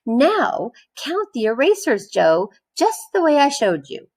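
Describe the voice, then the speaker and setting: A woman voicing a firm, persistent, exasperated female schoolteacher in a children's storybook, instructing with drawn-out emphasis. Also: high-pitched, sing-song, a little mellow, voice pushed up, exaggerated voice.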